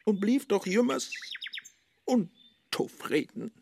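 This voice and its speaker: An actor playing a brooding, world-weary scholar in a rhymed radio play, declamatory, with a Low German accent. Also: male voice, sing-song, takes it slowly, gravelly, mellow, slight background noise, exaggerated voice. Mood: anguished. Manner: declamatory, melancholy.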